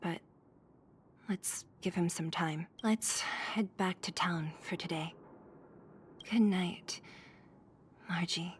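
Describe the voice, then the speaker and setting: A woman voicing a gentle, thoughtful female companion in a video game, soft and consoling. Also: fairly low pitch, slow pace, long pauses, a little hiss or hum. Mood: gentle, subdued. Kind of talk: video game voice acting, soft dramatic dialogue.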